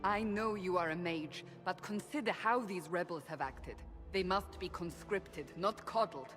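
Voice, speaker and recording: A woman's voice: lowish voice, measured pace, a bit bright, soft background music. An actress playing a stern, resolute warrior woman in a fantasy game, blunt and clipped. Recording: echoing hall with background noise.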